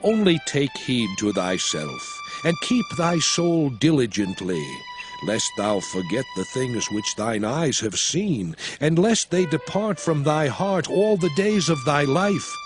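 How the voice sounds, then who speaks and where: An actor playing an aged male prophet and lawgiver, exhorting his people in a solemn, measured, declamatory manner in a dramatized scripture recording. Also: medium pitch, very animated. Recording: studio voice over a music score.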